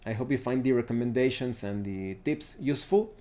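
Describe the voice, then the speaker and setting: A man hosting a video in a casual, unscripted explanatory style, often halting and correcting himself as he goes. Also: mid-range voice, warm tone. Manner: halting, self-correcting delivery. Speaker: YouTube host reviewing roleplaying games.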